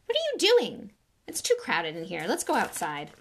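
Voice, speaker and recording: A woman's voice: on the low side, wildly swooping pitch, normal speed, a little hiss or hum. A woman voicing a harried middle-aged wizard father, stern and exasperated.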